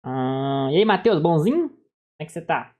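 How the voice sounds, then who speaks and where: A man's voice: fairly high pitch, sing-song, fairly quick, highs cut off. A man with a Brazilian accent reacting casually, in an informal, slangy way, often repeating himself. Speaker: reaction streamer. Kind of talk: casual live reaction chat.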